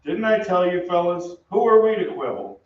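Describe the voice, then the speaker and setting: An actor playing an amiable, wisecracking American soldier, slangy and emphatic, in old-time radio style. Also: male voice, high voice, sing-song, moderate pace. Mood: polite amusement. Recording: echoey stage, distant mic, muffled, faint noise.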